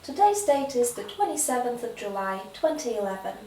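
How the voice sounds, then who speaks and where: High, lively-voiced woman examiner, roomy sound. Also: distant mic, slight background noise. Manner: clear, measured examiner prompts. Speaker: exam interviewer. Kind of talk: spoken English exam.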